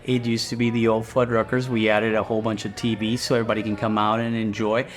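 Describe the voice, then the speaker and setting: A man's voice: lowish voice, level tone, quick pace. A middle-aged man from Guatemala, a restaurant owner, chatting casually in an interview and rambling a little with fillers and restarts.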